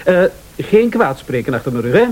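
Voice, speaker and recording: An actor with a Flemish accent playing a scheming, prickly husband, a former bar owner, in a radio thriller, speaking with sardonic mock politeness. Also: male voice, a little high, very animated, brisk, darkish tone. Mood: sardonic, mock-polite.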